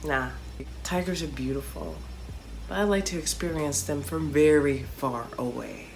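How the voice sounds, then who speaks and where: A woman from Chicago, a comedian, narrating a travel story in a chatty, animated storytelling way with playful asides. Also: low-pitched, a little slow, soft background music, poor audio. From Chicago, United States.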